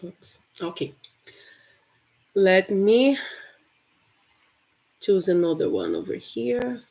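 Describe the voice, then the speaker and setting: Slow-talking woman from Brazil teaching English. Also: medium pitch, wildly swooping pitch, creaky, poor audio. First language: Portuguese. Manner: chatty, warm to viewers, many fillers and restarts. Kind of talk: casual livestream lesson.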